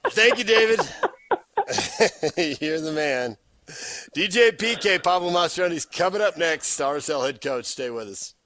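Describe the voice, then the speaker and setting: Bright, animated male radio host. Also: fairly high pitch, measured pace. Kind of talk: friendly radio banter and host sign-off.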